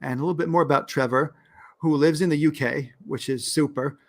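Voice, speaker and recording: A man's voice: a little high, fairly even intonation, a little fast, creaky. A man with a New York accent hosting a podcast interview, upbeat and laughing often as he introduces his guest. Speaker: podcast host.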